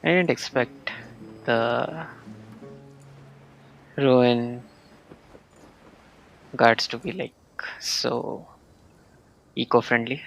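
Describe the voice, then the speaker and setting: A man streaming a video game live, muttering quiet asides to himself, often near a whisper. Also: medium pitch, very slow, creaky, pauses often, faint music behind, poor audio.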